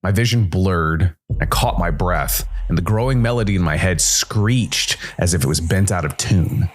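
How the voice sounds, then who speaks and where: A man narrating a scripted first-person noir story as a world-weary supernatural detective, brooding and dramatic, with deliberate, weighted pauses. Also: deep voice. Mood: brooding, tense. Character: world-weary supernatural noir detective, male jazz musician working for Death.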